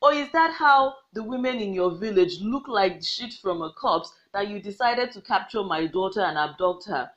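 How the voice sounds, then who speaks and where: A woman's voice: medium pitch, lively intonation, unhurried, close to an everyday voice. A woman with a Nigerian accent voicing a grieving, fierce old village mother who pleads with her ancestors. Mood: mocking. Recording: slightly muffled lo-fi recording.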